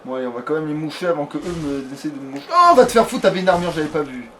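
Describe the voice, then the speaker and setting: A man's voice: on the high side, big pitch swings, racing, bright, forceful tone, slight background noise, rough recording. A man giving casual, slangy and foul-mouthed gaming commentary in a rambling way. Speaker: let's play host and player.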